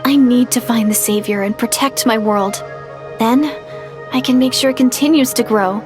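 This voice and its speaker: A woman's voice: on the high side, moderate pace, over music, close to an everyday voice. A woman playing an earnest, idealistic young village woman setting out as an adventurer in an anime video game. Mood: earnest resolve. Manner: earnest, sincere.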